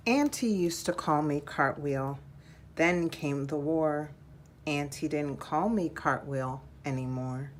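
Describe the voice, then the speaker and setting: A woman reading a children's picture book aloud in a measured, deliberate storytelling style. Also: low-pitched, slight background noise.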